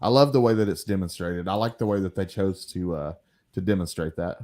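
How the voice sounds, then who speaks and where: A man's voice: deep voice, a little fast, darkish tone. A man co-hosting a casual comics livestream, mostly chiming in with short agreeing replies. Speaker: guest co-host.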